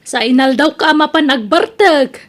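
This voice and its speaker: A woman's voice: sits high, normal speed, natural voice. A woman playing an older, pious mother who earnestly scolds and advises her grown son in an Ilocano radio drama, with an Ilocano accent. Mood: reproachful.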